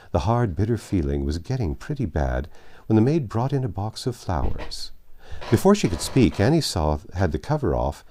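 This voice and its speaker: A man reading a classic story aloud as a narrator, in expressive scripted phrasing. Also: low voice. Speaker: audiobook narrator.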